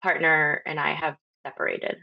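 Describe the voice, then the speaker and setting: Lower-voiced woman guest from Honduras. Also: little pitch movement, vocal fry, no echo. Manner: unhurried, with many pauses and ums. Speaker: podcast guest. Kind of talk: casual interview talk. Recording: slightly dull lo-fi audio.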